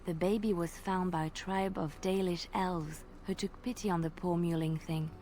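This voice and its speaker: A woman voicing a graceful female bard in a video game, telling tales in a measured, lilting way. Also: on the low side, fairly even intonation, warm tone, slight background noise, close to an everyday voice. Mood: storytelling. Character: graceful female bard, gentle devout storyteller. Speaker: game character. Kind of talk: voiced game dialogue.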